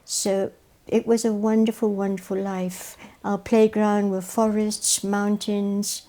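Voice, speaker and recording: Older woman, mellow voice. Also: medium pitch, level tone, slow. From Wales. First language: Welsh. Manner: warm storytelling, repeats phrases for emphasis. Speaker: guest, educator. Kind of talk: reminiscing interview answers.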